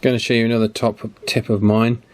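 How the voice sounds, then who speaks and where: A man giving a casual, hands-on repair demonstration, chatty and unhurried, thinking aloud as he works. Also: medium pitch, little pitch movement. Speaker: radio repair video presenter. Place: indoor electronics repair bench.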